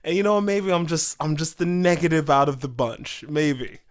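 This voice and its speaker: A man's voice: on the high side, moderate pace. A man co-hosting a podcast, chatting casually and a little hesitantly, repeating phrases as he gives his view. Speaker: podcast co-host. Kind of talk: casual chat.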